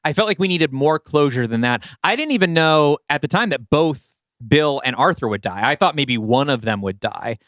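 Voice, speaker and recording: A man's voice: a little high, expressive, normal speed, fairly bright tone, dry recording, clean studio sound. A man co-hosting a podcast in a casual creative discussion, thinking aloud as he explains his writing. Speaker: podcast co-host and audio play writer.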